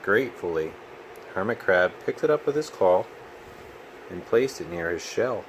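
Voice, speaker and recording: A man reading a storybook aloud to a child in an expressive, patient manner. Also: low-pitched, normal speed, background noise. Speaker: children's book reader.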